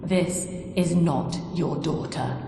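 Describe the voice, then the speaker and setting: A woman playing a cold, commanding psychiatrist and cult leader in a video game, speaking in measured, solemn oratory. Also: deep voice, mellow. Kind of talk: scripted dramatic game dialogue. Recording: echoey reverberant hall, music behind.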